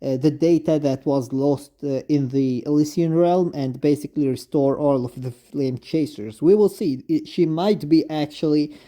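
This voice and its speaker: Guy doing gamer fan commentary, non-native english, really mellow muted tone, bit high pitched, gushes and stumbles over words. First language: not English. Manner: effusive, halting, repetitive. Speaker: video game commentator. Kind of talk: unscripted fan commentary, reading lines aloud.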